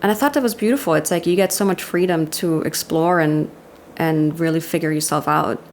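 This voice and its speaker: Low gravelly woman, Austrian accent. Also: a little mellow, a little hiss or hum. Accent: Austrian German. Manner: candid, laughs often, quick self-deprecating asides. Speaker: guest, commercial director. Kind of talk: casual interview chat.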